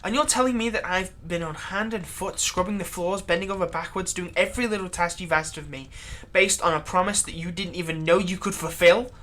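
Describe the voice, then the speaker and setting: A woman playing a long-serving, exasperated human servant of a vampire in a dramatic roleplay monologue, halting and sardonic. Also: on the low side, a bit bright, natural voice. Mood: indignant. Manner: conversational, halting, sardonic, emphatic when aggrieved. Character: long-serving human thrall, exasperated, pleading, turning menacing toward vampire master. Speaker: roleplay audio performer.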